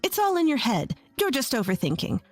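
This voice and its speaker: Woman doing a sneering middle-aged mother-in-law, scolding, high and shrill, dry close voice. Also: sing-song, fairly quick, no room sound, soft background music. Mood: scornful.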